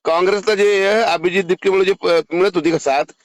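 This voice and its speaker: A man's voice: high voice, steady tone, fairly quick, no room sound. A man with a Marathi accent from Maharashtra, blunt, foul-mouthed and insistent in a phone conversation. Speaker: caller making political complaints.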